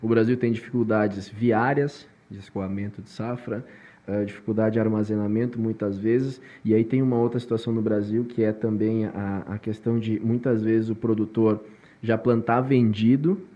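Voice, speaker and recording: Host, male, with a warm, muted tone that circles around his points. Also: fairly low pitch, steady tone, moderate pace, dull audio. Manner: rambling, many restarts. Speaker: podcast host. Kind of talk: podcast interview.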